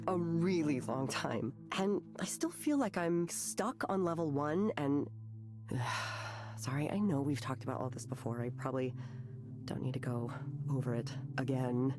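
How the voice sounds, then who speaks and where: An actress playing an anxious, self-mocking young artist in a visual novel, with an American accent and a hesitant, rambling way of talking. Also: female voice, a bass voice, moderate pace, music in the background. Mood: nervous. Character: nervous, self-deprecating, struggling young woman artist. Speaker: counseling client. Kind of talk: visual novel voice acting, conversational confession.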